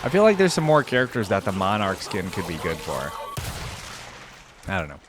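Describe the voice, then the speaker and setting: A man hosting a casual, unscripted reaction video, commenting in a halting, conversational way as he thinks aloud. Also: deep voice, huge pitch swings, noisy background. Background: background music.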